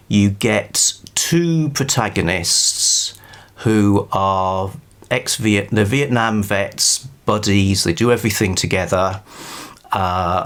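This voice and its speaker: A man's voice: on the low side, fairly bright tone. A man reviewing books casually, chatting in a rambling way with wry understatement. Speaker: book reviewer. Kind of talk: casual book review to camera.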